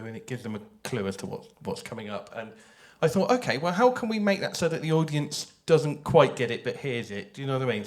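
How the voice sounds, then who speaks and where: Guy on a panel talking shop to a crowd, medium pitch, chatty, keeps checking in. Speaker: audio drama writer on a panel. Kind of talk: informal panel talk to an audience.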